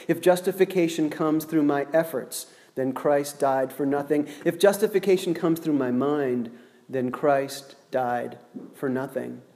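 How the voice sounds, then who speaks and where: Slow, deliberate preacher in a reverberant hall. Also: male voice, a little high, mellow. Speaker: pastor. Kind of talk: sermon.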